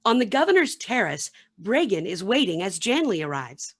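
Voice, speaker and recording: A woman's voice: medium pitch, very animated, normal speed. A woman reading scripted narration for a radio play, with some background noise.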